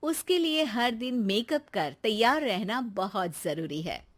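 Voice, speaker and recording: Female story narrator, high voice, scripted storytelling delivery. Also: lively intonation, a little slow.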